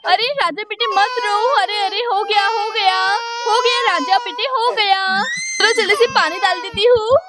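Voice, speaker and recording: Woman playing a very high-voiced, cooing baby-minder. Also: unhurried. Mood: soothing. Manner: cooing, repetitive. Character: doting young female caregiver soothing a baby. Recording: clearly noisy, clicking background.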